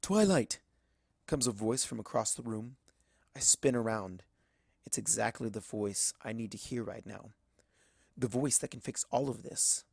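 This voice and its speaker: A man's voice: lowish voice, steady tone, moderate pace, vocal fry, darkish tone, husky, no echo, studio quality. A man reading a first-person story aloud as dramatic scripted narration. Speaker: audiobook narrator of fan fiction.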